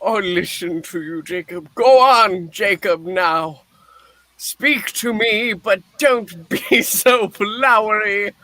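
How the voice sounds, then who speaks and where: A man's voice: sits high, takes it slowly, sharp tone, no echo, exaggerated voice. A man playing a frightened, pleading old miser in a comic radio play reading, with a flustered, theatrical manner. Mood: soothing, nervous.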